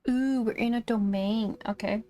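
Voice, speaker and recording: A woman's voice: on the high side, fairly even intonation, normal speed, warm tone. A woman game streamer giving casual live commentary as she plays.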